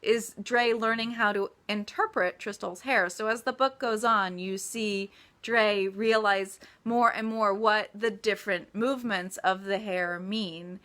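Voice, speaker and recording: Woman, bright crisp-edged tone, mid pitch, casual livestream Q&A, chatty author, emphatic asides. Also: steady tone, a little slow. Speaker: author answering reader questions.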